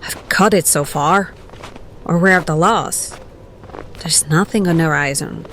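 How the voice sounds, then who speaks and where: A woman playing a scholarly adventurer in an audio drama, measured and analytical in manner. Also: low-pitched, fairly bright tone, slight background noise. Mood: measured, doubtful.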